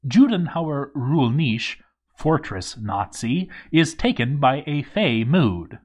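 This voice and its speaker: Male narrator, mid-pitched voice, dramatic storytelling, emphatic pauses, game playthrough read-aloud. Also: sing-song, darkish tone, husky.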